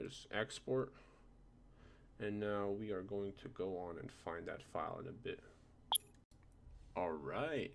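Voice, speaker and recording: A man with a low, creaky voice walks through a software tutorial. He is informal and hesitant, thinking aloud. Faint noise and keyboard typing sit behind him. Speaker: tutorial presenter. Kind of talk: casual screen-recorded software tutorial. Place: indoors at a computer. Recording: noisy home desktop recording, keyboard clicks. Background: keyboard typing, background noise.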